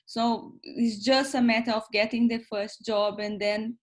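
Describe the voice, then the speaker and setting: A woman's voice: fairly high pitch, flat delivery, unhurried. A woman in her thirties, not a native English speaker, co-hosting a casual chat and speaking hesitantly with many fillers and restarts. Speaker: co-host.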